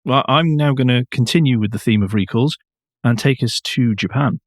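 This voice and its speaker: A man's voice: medium pitch, moderate pace, dry recording, studio quality. A man co-hosting a car news podcast in a conversational way, with a wry, blunt manner. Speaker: podcast co-host.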